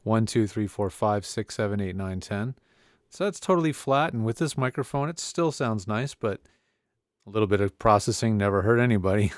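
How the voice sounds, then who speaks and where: Man, low voice, dry close condenser mic, casual tech walkthrough, home studio desk. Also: moderate pace, no echo. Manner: relaxed, chatty, frequent mic checks and counting. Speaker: tech reviewer. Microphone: condenser mic on a desk arm. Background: faint computer hum.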